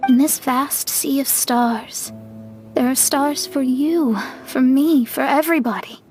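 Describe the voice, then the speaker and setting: Game heroine, young astrologist: high voice, articulate, earnest, reflective and quietly proud. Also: female voice, faint music behind. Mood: wistful, hopeful. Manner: measured, articulate, solemn dramatic delivery. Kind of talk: video game cutscene voice acting.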